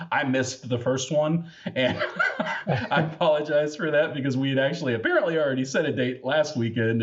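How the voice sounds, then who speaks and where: A man's voice: on the low side, muffled recording. An American man hosting a podcast, chatting casually with lots of fillers and easy laughter. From United States. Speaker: podcast host.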